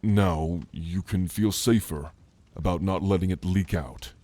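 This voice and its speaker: A man playing a gentle, scholarly orc mage in a soft, earnest, halting ASMR roleplay, speaking intimately to the listener. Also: deep voice, no echo. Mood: bashful, earnest.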